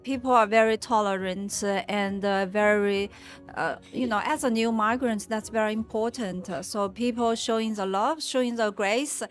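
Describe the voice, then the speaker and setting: A woman's voice: medium pitch, steady tone, soft background music. Hesitant woman from mainland China. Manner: hesitant, many "uh" pauses. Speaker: sales worker, recent migrant. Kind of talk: interview answers.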